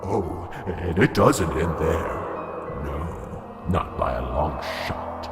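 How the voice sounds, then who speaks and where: A man's voice: deep voice, animated, slow pace. A man voicing a sinister male tormentor in a nightmare, mocking and gloating, slipping into hissed whispers, in a student radio drama. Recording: echoey with loud music behind.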